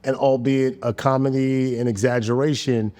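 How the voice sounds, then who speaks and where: A man's voice: medium pitch, moderate pace. A man born in the United States, a podcast host telling stories in casual chat and acting out quotes. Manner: animated storyteller acting out quotes. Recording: long hall reverb.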